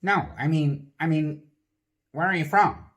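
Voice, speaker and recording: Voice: medium pitch, a little slow, fairly bright tone, lightly acted. A puppeteer voicing a nosy, clumsy sock puppet host who asks awkward questions full of nervous stammers. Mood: prying. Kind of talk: comedy puppet sketch.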